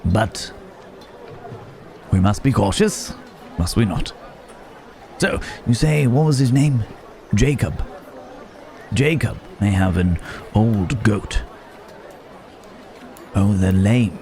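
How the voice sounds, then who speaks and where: Troubled gentleman werewolf played by a man, ASMR fantasy roleplay. Low, unhurried, hesitant, antique courtesy. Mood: musing, cautious. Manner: old-fashioned formal phrasing, hesitant, musing. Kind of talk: ASMR roleplay acting, one-sided dialogue. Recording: busy outdoor market ambience behind voice. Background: market crowd ambience.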